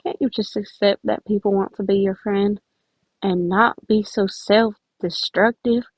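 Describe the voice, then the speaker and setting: A woman's voice: medium pitch, fairly even intonation, measured pace, slightly rough, no echo. A woman voicing a stern but caring teenage boy who is earnest and pleading in a story reading. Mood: exasperated, stern.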